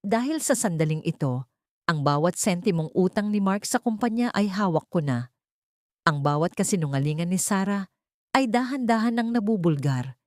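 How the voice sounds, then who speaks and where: A woman voicing a calm protective brother. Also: medium pitch, normal speed, no echo, clean studio sound, lightly acted. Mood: cold. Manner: calm, commanding, gentle toward his sister. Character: protective wealthy young man, the heroine's brother.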